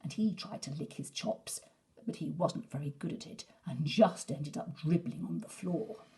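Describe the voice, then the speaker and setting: A woman storyteller narrating a children's bedtime story expressively and dramatically, sometimes softening toward a breathy near-whisper. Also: low voice, normal speed.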